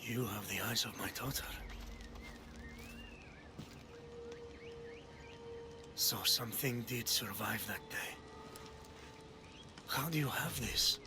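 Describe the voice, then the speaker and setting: An actor playing a stern, proud old Spartan king and grandfather in a video game, speaking in a grave, measured manner. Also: medium pitch, background noise, natural voice. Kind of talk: video game cutscene dialogue.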